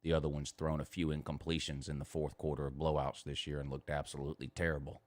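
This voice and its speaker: A man with a deep voice and a Southern US accent bantering about sports. He is emphatic and cocky, often circles back over his own phrases and swears casually. The sound is very dry. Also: little pitch movement, fast, no echo. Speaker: morning show host.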